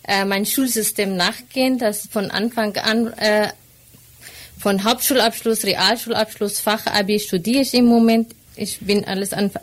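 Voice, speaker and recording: A woman from Syria, now a student, telling her story in an interview. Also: medium pitch. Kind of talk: personal account in an interview.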